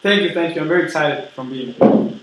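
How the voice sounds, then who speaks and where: A man playing an eager, polite steel tycoon in a role-played interview, earnest and halting as he repeats words. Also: a little high, recorded from a distance, natural voice. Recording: very echoey room with background noise.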